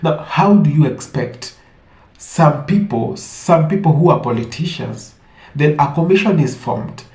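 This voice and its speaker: A man's voice: a little high, animated, measured pace, darkish tone. Man in an echoey room. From Africa. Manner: emphatic, rhetorical questioning. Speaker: online commentator. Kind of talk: opinionated commentary to camera.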